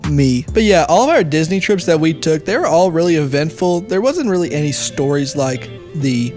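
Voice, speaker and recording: A man's voice: fairly high pitch, animated, moderate pace, darkish tone, faint music behind. A young man telling a story casually as a podcast guest, emphatic and given to exaggeration. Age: young adult.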